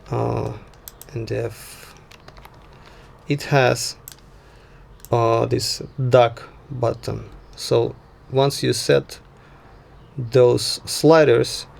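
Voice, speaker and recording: A man giving an informal software tutorial, speaking in halting, hesitant phrases as he instructs. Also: mid-range voice, creaky.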